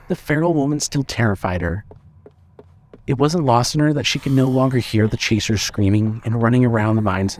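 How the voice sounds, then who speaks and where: A man's voice: medium pitch, darkish tone. A man reading scripted horror fiction as the narrator of an audio drama.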